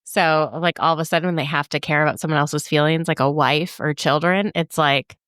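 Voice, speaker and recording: A woman's voice: sits low, fairly even intonation, brisk, fairly bright tone, clear, resonant tone, no echo, clean studio sound. A woman co-hosting a podcast in casual chat, thoughtful and hedging, filling her talk with "like.". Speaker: podcast co-host.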